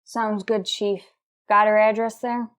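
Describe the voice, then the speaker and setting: A woman's voice: medium pitch, level tone, a little slow, a bit bright, lightly acted. A woman playing a businesslike police sergeant who narrates a radio crime drama in a clipped, matter-of-fact way. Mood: focused.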